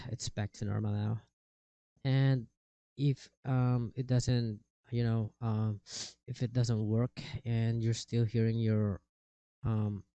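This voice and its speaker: A man giving a casual software tutorial in a halting, step-by-step way, speaking into a cheap microphone. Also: mid-range voice, gravelly, dry recording. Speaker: tutorial presenter. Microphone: cheap microphone with software processing.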